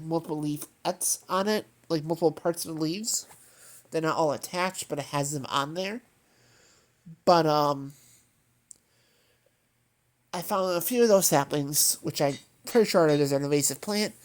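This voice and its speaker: A woman's voice: deep voice, a little mellow. A woman hosting a gaming channel, sounding congested and nasal from allergies, chatting casually in a meandering way with lots of fillers and self-corrections. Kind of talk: rambling casual chat over gameplay.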